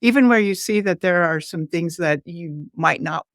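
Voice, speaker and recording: A woman in casual tech chat, informal and a little halting as she thinks aloud. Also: fairly low pitch, no room sound, clean studio sound. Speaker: educator on a developer livestream.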